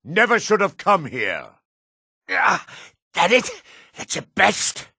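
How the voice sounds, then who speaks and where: An actor playing a gruff, proud male dark elf in a fantasy video game, with a rasping voice and theatrical delivery. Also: sits high, huge pitch swings, takes it slowly, no room sound, clean studio sound, heavily acted. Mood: taunting, aggressive. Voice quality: gravelly, rasping.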